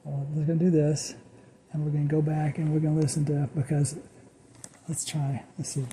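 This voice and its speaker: Mellow, higher-voiced man, halting. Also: completely flat delivery, a little hiss or hum, rough recording. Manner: halting, repeats phrases. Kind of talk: casual chat while playing old tapes.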